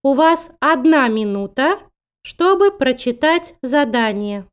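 A woman announcing exam instructions in a formal, deliberate manner. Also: high-pitched, animated. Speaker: test announcer.

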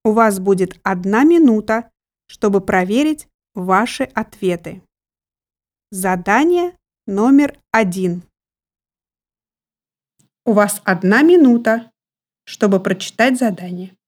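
Slow, measured woman reading exam instructions. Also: medium pitch, animated, a little mellow. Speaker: exam announcer.

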